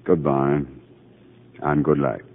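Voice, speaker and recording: An actor playing a calm, kindly psychiatrist in a 1940s radio drama, with a measured and reassuring delivery. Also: male voice, very deep voice, heavily acted. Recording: tinny 1940s radio broadcast, faint music.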